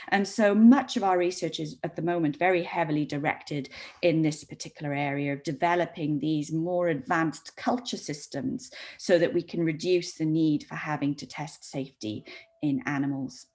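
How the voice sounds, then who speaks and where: Low-voiced Welsh-accented woman lecturing. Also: darkish tone. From Saudi Arabia. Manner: measured, with frequent ums and repeated words. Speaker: professor giving a talk. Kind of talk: recorded academic presentation.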